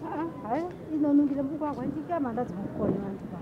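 Woman vlogger, high quick voice, shopping on a phone cam, dull sound with heavy background noise. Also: expressive. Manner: quick, bossy instructions to a child. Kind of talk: casual chat while shopping. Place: indoor supermarket. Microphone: handheld phone camera. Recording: dull lo-fi phone audio, loud store noise.

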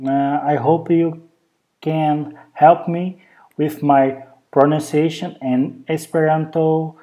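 A native Brazilian Portuguese speaker with a Brazilian Portuguese accent, speaking hesitant English with many pauses in a casual show-and-tell vlog recorded at home on a handheld camera. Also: medium pitch, flat delivery, warm tone. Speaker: vlogger. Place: indoors at home, over a bed.

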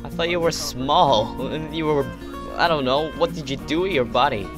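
A young man casually commenting while playing a social VR game, joking, laughing and breaking into loud outbursts. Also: fairly high pitch, moderate pace. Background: game music.

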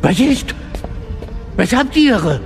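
An anxious male alien underling in an audio drama. The voice is high and creaky, placating and hesitant, with music underneath. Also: sing-song, slow, heavily acted.

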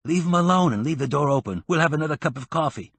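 A man's voice: on the high side, expressive, fast, gravelly, fairly bright tone, husky, no echo, voice pushed up, full character voice. A man voicing a determined, easygoing male photographer who speaks in an upbeat way.

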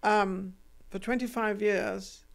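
A woman's voice: medium pitch, fairly even intonation, slow, gravelly. An older woman hosting a studio interview, chatty and playful as she prompts her guest, speaking into a desk mic. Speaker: interviewer, host. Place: small studio with foam wall panels. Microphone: desk podcast mic.

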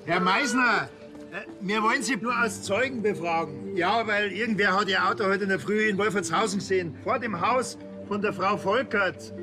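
An actor playing a laconic provincial policeman in a TV crime comedy, casual and deadpan. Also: high-pitched, moderate pace, over music, lightly acted.

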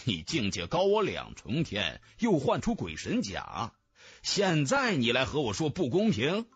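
A man's voice: medium pitch, wildly swooping pitch, a little slow, breathy edge, lo-fi sound, faint music behind, exaggerated voice. A man voicing a cool, scornful young swordsman hero in a fantasy audiobook. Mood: cold contempt.

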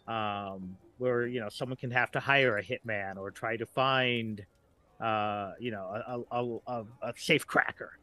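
Bright-voiced middle-aged man chatting. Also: medium pitch, no echo. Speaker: guest writer. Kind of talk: casual podcast discussion.

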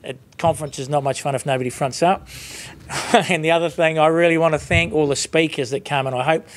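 A man's voice: fairly high pitch, fairly even intonation, fairly quick. A man in his fifties with a New Zealand accent gives an informal, conversational and rambling closing speech at a podium as an industry leader. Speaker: industry leader giving closing conference address. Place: conference room with seated audience, indoors. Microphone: lectern microphones.

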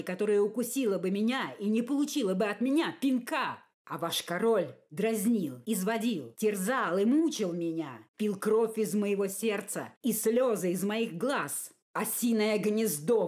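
Storybook role: defiant, fiery young man read by a woman, mid-pitched voice, emphatic declamatory delivery. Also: darkish tone, lightly acted. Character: bold, hot-tempered youth fed up with bad dreams. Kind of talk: storybook character reading.

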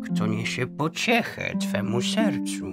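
An actor playing a mysterious wandering stranger in a children's radio play, speaking slowly and solemnly in cryptic promises. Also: medium pitch, sing-song. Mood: solemn, comforting. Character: mysterious wandering stranger bringing comfort and prophecy. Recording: music under the voice.

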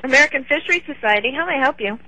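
Fast-talking woman on a noisy phone line. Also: high voice, animated. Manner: patient, brief, courteous. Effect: telephone. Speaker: receptionist answering phones. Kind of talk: polite customer-service phone talk. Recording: noisy telephone line with clicks.

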